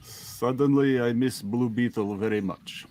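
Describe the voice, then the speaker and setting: A man's voice: mid-range voice, measured pace, a little mellow, no room sound, lightly acted. A man voicing a formal, dour Russian superhero in a comic book dramatic reading. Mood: dour, dry.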